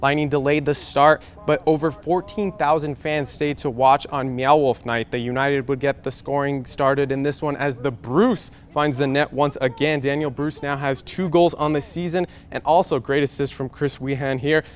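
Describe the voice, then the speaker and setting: A young American man in his twenties anchoring a scripted TV sportscast with game highlights. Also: steady tone, moderate pace, hardly any pauses. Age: 20s.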